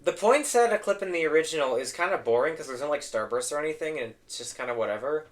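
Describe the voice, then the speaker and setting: A young man hosting a casual tier-list ranking, his voice going hoarse, opinionated and trailing off into low mumbles. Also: expressive, fast. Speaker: host.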